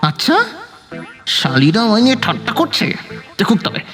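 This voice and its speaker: A man's voice: high-pitched, big pitch swings, moderate pace, a bit bright, soft background music. An actor playing a gluttonous, bumbling young son-in-law in a comic audio drama, whining and stammering as he feigns refined manners. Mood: stubborn, flustered.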